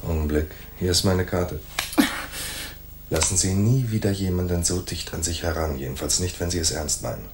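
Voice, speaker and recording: Private detective played by a man, low register, cool, laconic, wry. Hardboiled radio drama. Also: moderate pace, noisy background, lightly acted. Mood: cool, dry. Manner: laconic, sardonic. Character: wry, cool male private detective narrating his case. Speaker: narrator and lead actor in a radio play.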